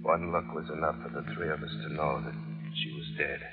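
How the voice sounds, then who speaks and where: A man's voice: very deep voice, fairly even intonation, fairly quick. An actor playing a frightened working man who recounts his ordeal in a grave, tense 1940s radio thriller narration. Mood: grim recounting. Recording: muffled old radio, music behind.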